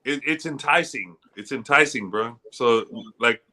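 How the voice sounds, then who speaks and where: A man's voice: mid-range voice, measured pace, sharp tone. A man talking casually on a podcast, loose and slangy, often restarting his sentences. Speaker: guest pitmaster.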